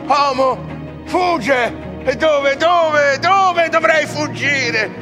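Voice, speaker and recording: A man's voice: extremely high pitch, drawn-out words, music in the background, exaggerated voice. An actor playing a proud, reckless scholar who sells his soul, in a declamatory theatrical radio drama style. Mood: alarmed. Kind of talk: theatrical radio drama acting of classical verse.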